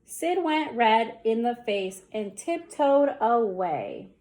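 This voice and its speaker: A woman with a high voice reads a children's bedtime story aloud. She takes her time, speaking slowly and deliberately, and gives the action words theatrical emphasis. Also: big pitch swings, a little mellow. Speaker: storyteller reading a children's book.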